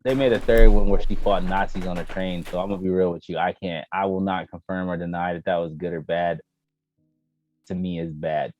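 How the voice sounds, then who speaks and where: A man's voice: deep voice, steady tone, fairly quick, darkish tone, dry recording. A man chatting casually as a co-host, giving blunt, emphatic opinions in slangy, profane language. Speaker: podcast co-host. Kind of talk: casual opinionated chat about video game movies. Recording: dull lo-fi mic, music underneath.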